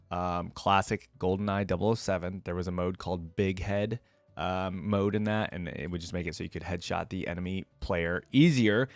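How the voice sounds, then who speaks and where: A man's voice: fairly low pitch, no room sound. A man giving casual, off-the-cuff reaction commentary as a video host, thinking aloud in an informal, wryly bemused way.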